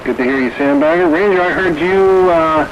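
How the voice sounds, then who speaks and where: A man's voice: fairly high pitch, normal speed, highs cut off. A man chatting casually as a caller over CB radio. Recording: noisy two-way radio transmission.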